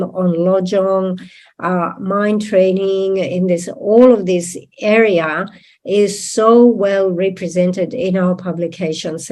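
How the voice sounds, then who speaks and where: A woman whose first language is not English, interpreting a Buddhist teaching in a deliberate manner and checking in with listeners. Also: mid-range voice, dark tone. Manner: deliberate, with tag questions to listeners.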